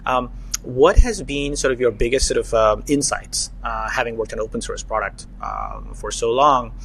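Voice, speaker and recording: A man's voice: mid-range voice, very animated, normal speed, vocal fry, a bit bright, slight background noise, low-quality recording. A man hosting a podcast, asking long, hesitant questions with many fillers. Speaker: podcast host, investor. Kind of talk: interview questions, conversational.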